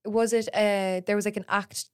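A woman's voice: medium pitch, level tone, moderate pace, clean tone. A young woman in her twenties, co-hosting a podcast in chatty, self-deprecating talk. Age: 20s. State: tired from lack of sleep. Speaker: podcast co-host. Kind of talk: casual chat.

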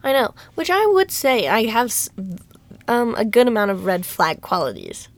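Young woman, high-pitched, giggly, rambling podcast host. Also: wildly swooping pitch, normal speed. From Alabama. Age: young adult. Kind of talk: casual podcast chat.

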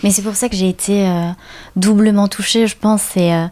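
A woman's voice: medium pitch, fairly even intonation, normal speed, dark tone. A woman from the Paris suburbs talks earnestly in a casual conversation. She tells her own experiences with animation, often restarting her sentences. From Paris suburbs, France.

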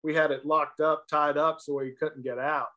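A man's voice: on the high side, flat delivery, normal speed, pushed and bright. A man chatting casually as an interview guest, reminiscing in a conversational way. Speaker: interview guest, former baseball player.

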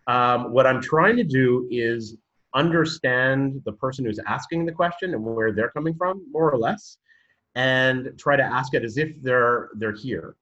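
A man's voice: mid-range voice, fairly bright tone, muffled recording. A man from Canada with a Canadian accent, talking as a guest in a podcast interview, hesitant with many fillers and restarts, then telling his story with growing energy. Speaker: guest, university programme director.

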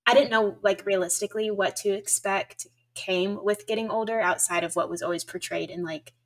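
A woman's voice: medium pitch, fairly even intonation, brisk, rough recording. A woman in her twenties co-hosting a podcast, telling stories in a chatty way full of fillers. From United States. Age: 20s. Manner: chatty, filler-heavy storytelling. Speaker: podcast co-host. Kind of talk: casual chat.

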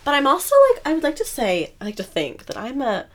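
A woman's voice: high voice, very animated, quick pace. A young woman co-hosting a podcast in casual chat, giggly and playful in her banter. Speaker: podcast co-host.

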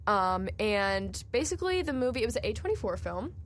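A woman's voice: mid-range voice, brisk. Chatty young woman reviewing films. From New Jersey. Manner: chatty, animated, says like a lot. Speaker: podcast co-host. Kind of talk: casual film review chat.

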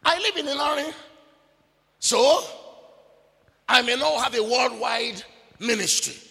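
High, gravelly preacher. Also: male voice, a little slow. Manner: emphatic, repetitive, bursts of shouting. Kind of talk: sermon. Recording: long reverb of a large hall.

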